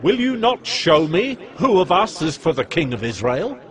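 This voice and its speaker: An imperious, suspicious king gives orders in a Bible audio drama. His voice is fairly high and highly animated, with a declamatory edge. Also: normal speed. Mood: angry, demanding.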